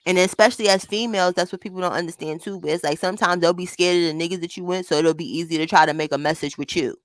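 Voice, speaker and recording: A woman with a high voice hosting a casual chat, talking in a blunt, profane, animated way. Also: racing, no echo. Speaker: host.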